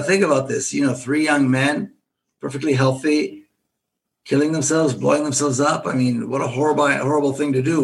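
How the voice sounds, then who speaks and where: A middle-aged man from Israel, an author talking as a guest in a podcast interview, reasoning aloud with frequent restarts. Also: mid-range voice. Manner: thoughtful, repeats and restarts while reasoning. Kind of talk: podcast interview, earnest explaining.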